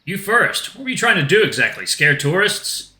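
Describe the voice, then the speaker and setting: A man's voice: high-pitched, big pitch swings, normal speed, pushed and bright, roomy sound, voice pushed up, exaggerated voice. A man voicing a cocky, sarcastic, irritable male animal character in a story, blunt in manner. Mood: scolding. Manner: blunt, sardonic.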